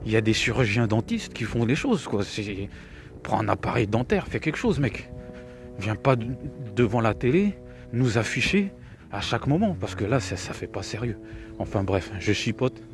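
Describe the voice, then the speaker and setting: A man's voice: lowish voice, very animated, moderate pace, faint music behind. A middle-aged man with a cold gives rambling, scornful commentary, often repeating his words. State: has a cold. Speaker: video commentator. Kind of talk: opinionated reaction commentary.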